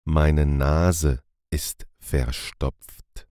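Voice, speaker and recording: Very deep, slow, dry male voice. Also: dry recording. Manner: calm, deliberate reading. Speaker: language teacher. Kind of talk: slow phrase drills, each repeated.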